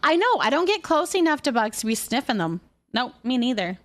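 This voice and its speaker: High-voiced woman chatting on a livestream. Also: big pitch swings, brisk, fairly bright tone. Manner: chatty, reads comments aloud and muses on them. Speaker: live streamer reading viewer comments. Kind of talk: casual livestream chat.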